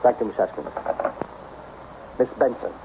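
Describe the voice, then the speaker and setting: A man's voice: mid-range voice, moderate pace, vocal fry, close to an everyday voice. A man playing a wealthy, impatient businessman in a radio mystery, curt, imperious and easily exasperated. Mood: baffled, insistent. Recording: dull, noisy old radio recording.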